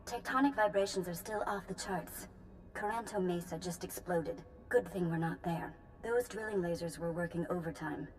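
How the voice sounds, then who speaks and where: An actress playing a practical scientist reporting technical readings in a video game. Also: female voice, fairly low pitch, normal speed, slight background noise, lightly acted, rough recording. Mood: concerned, analytical. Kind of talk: video game cutscene acting.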